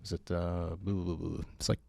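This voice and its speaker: A man's voice: low-pitched, little pitch movement, a little fast, vocal fry, darkish tone, no room sound. A man who teaches personal training, chatting casually on a podcast in a chatty, self-deprecating way with many restarts.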